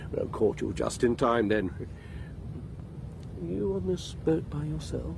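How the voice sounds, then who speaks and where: Wildly swooping, bitter rambling husband. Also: male voice, mid-range voice, very animated, slow, gravelly, noisy background, full character voice. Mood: queasy, confiding. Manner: confiding, theatrical, rambling. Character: rambling bitter husband who murdered his wife. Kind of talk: radio drama acting.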